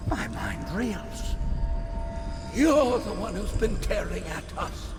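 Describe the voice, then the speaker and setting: A man's voice: sits high, huge pitch swings, slow, exaggerated voice. A man playing a paranoid, haughty tyrant in a video game, theatrical, agitated and self-righteous. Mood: shocked, vengeful. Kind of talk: dramatic video game acting. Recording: long hall reverb, loud music behind.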